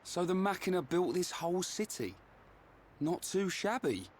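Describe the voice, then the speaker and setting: A man's voice: high voice, sing-song, normal speed, slight background noise. An actor playing a brash, plain-spoken young male fighter in a video game, blunt and colloquial. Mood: impressed.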